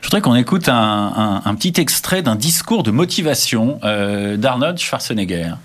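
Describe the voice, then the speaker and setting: A man hosting a radio interview, introducing his guest and a clip in a measured way. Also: medium pitch, big pitch swings. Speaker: radio host.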